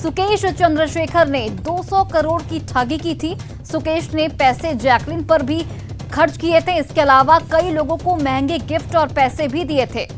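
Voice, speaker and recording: A woman's voice: high-pitched, measured pace. A woman news anchor delivering breaking news in an emphatic, urgent way, repeating key phrases. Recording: music bed under the voice. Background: news music.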